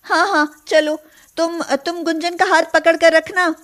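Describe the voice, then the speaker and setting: A woman voicing a poor, sweet young girl in an animated moral storybook, earnest and exclaiming. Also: extremely high pitch, unhurried, voice pushed up. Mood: worried. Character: poor young sisters, sweet girls in wonder or worry.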